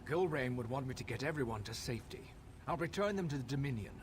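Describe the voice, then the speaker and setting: An actor playing a devoted elf husband in a video game, speaking with grim resolve. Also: male voice, medium pitch, animated, quick pace, close to an everyday voice. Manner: frantic, then grimly resolved.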